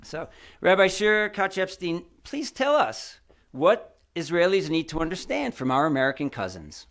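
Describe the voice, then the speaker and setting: A man's voice: a little high, very animated, normal speed, fairly bright tone. A man moderating a panel event, giving friendly announcements with frequent hesitations. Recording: roomy, distant from the mic.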